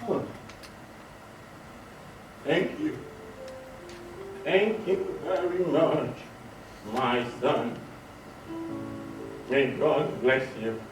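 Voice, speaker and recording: Man playing a slow, pleading blind beggar mother. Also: medium pitch, animated. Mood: grateful. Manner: slow, pleading delivery with blessings. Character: blind, grateful widowed beggar mother, war survivor. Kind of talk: theatrical one-man stage storytelling. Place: hotel hall stage. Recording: distant echoey stage recording, music behind.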